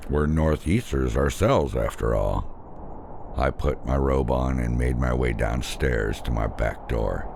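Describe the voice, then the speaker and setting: A man narrating a scripted first-person horror story in an ominous, deliberate way. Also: extremely low pitch, creaky, background noise.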